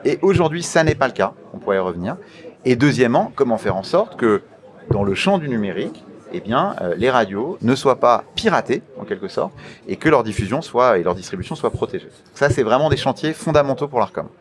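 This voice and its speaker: A man giving formal interview answers as an official, in a deliberate, halting manner with emphatic repetition of key phrases. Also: mid-range voice, sing-song. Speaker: interview guest, media regulator official.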